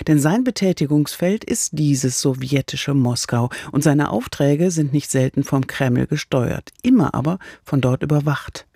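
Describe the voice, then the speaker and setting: A man's voice: mid-range voice, creaky, mellow, no echo. A man reading a scripted introduction to a radio drama, as an announcer on a close studio microphone.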